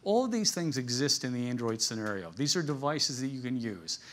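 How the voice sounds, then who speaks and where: A man presents to a business conference in an informal, conversational way. His pitch sits in the middle range, and he tells things like stories with a light, joking touch. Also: very animated, moderate pace. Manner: conversational, jokey storytelling, chuckles at his own asides.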